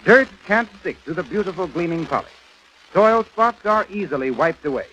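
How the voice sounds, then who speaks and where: A man's voice: sits high, animated, normal speed, a bit bright, highs cut off. An American man announcing in a scripted 1930s radio style, on a crackly old broadcast recording.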